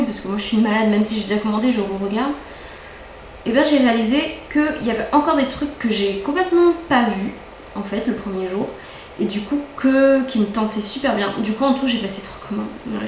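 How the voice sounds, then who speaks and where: A woman's voice: medium pitch, slight background noise. A young woman chatting casually and enthusiastically about her beauty haul, often repeating words for emphasis. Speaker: beauty vlogger. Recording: echoey room, faint ambience.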